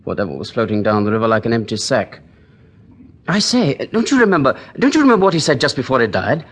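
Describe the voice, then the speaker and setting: Husky British police detective. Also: male voice, mid-range voice, wildly swooping pitch, fast. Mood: excited. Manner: polite, eager, well-spoken. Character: eager, respectful male police detective. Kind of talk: 1940s British radio drama acting.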